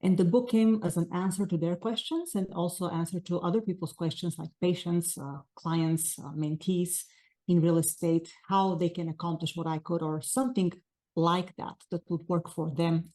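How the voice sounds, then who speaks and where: Bulgarian accent woman, 40s, mellow lowish voice, long earnest answers w/ lots of uh's. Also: steady tone, normal speed. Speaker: author guest. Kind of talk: interview answers.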